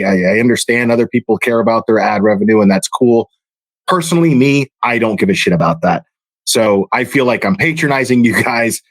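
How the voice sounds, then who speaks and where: Man, lowish voice, dry sound, blunt, dismissive, swears. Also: no echo. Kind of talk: critical commentary.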